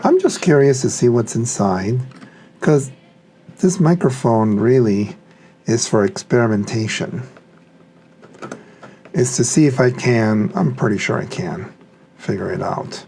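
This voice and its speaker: A man talks slowly and tentatively, musing to himself in a casual unboxing commentary. His voice is mellow with plenty of fry, and there is some background noise. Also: medium pitch, animated. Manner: musing, thinking aloud, tentative. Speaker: hobbyist product reviewer.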